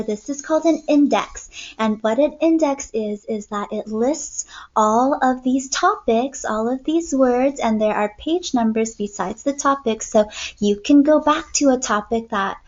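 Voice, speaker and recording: A young woman teacher reading aloud to kindergartners in an expressive storytelling way, recorded indoors on a computer mic. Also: a little high, unhurried, muffled recording. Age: young adult. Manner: expressive, clearly paced storytelling for children. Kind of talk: read-aloud lesson for young children. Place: small room at home, indoors.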